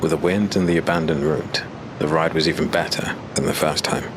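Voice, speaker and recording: A man narrating a dark fantasy audio drama in a measured, storytelling way. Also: very deep voice, fairly even intonation, background noise.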